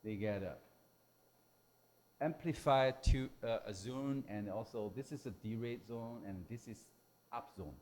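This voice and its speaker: A man who is a non-native English speaker giving a technical lecture in halting, simple phrases. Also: medium pitch, a little mellow. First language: not English. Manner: halting, hesitant delivery with simple broken phrasing. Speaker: technical presenter at a symposium.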